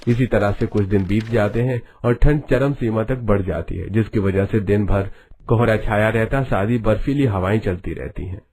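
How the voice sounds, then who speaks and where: A man's voice: lowish voice, darkish tone, a little hiss or hum. A man narrating a scripted cartoon story.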